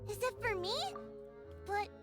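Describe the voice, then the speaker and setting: A woman voicing an eager little girl who is excited and wide-eyed. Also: extremely high pitch, measured pace. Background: game music.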